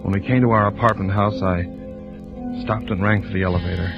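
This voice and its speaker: An actor playing an anguished husband and father, narrating in a grave, deliberate 1940s radio style. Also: lowish voice, fairly even intonation, creaky. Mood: somber narration. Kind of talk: 1940s radio acting, first-person narration. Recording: muffled old radio broadcast, music behind.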